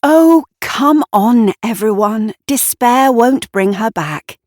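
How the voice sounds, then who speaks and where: A woman voicing a brisk, self-assured woman in an audio story, emphatic and theatrical. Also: on the high side, lively intonation, darkish tone, dry recording, studio quality, pitched above the performer's own voice. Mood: exasperated. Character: brisk, chin-up, self-assured woman rallying mourning neighbours.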